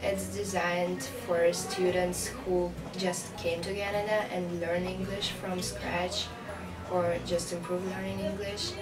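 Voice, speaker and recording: A teenage student girl from Ukraine, speaking carefully with pauses in an interview. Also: on the low side. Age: teens. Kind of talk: interview testimony. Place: school office, seated. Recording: echoey room from far off. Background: loud background music.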